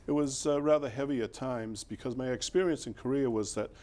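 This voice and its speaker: An older man from Boston speaks with a clear Boston accent, at an ordinary pitch for a man. He tells his stories in an easygoing, rambling way, with many fillers and soft chuckles, as a guest on an interview show. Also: a little fast. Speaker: former mayor, guest. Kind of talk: interview, relaxed storytelling.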